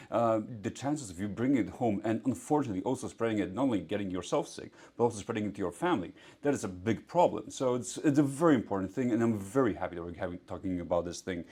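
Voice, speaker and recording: A man's voice: on the low side, a little mellow. A young man from Poland who grew up in Singapore, a doctor explaining earnestly in an interview, with some fillers. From Poland, raised in Singapore. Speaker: physician guest. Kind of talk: interview answers. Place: clinic in Warsaw.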